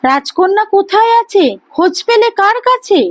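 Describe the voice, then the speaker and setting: Female poetry reciter, high voice, unhurried childlike delivery, close dry recording. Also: lively intonation, no room sound. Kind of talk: expressive Bengali poem recitation in a child's voice.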